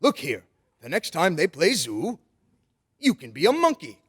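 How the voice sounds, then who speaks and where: A man performs a jolly old Father Christmas for an old-time radio drama. The voice sits high for a man and is husky, with lively rises and falls. The phrasing is kindly, old-fashioned and playful. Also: a little slow, heavily acted. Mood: playful, explaining. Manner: chuckling, coaxing, old-fashioned grandfatherly phrasing.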